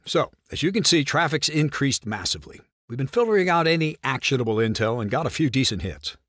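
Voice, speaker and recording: An actor playing a confident, blunt intelligence officer giving a clipped, matter-of-fact military briefing in a video game cutscene. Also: male voice, medium pitch, animated, fast, a bit bright, no room sound, studio quality. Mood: wry, businesslike.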